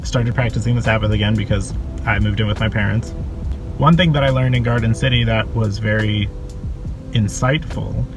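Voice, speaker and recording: A young man from Costa Rica talks about his life in a relaxed, easygoing way, his voice sitting fairly low. He pauses with fillers and light laughs. Music plays clearly behind him. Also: rough recording. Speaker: interviewee. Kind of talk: casual personal storytelling. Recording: interview with background music.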